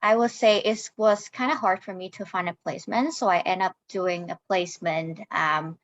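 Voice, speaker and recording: A woman's voice: lowish voice, normal speed, highs cut off. A young woman, talking casually as a guest speaker on a panel. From Hong Kong. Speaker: guest speaker, social worker.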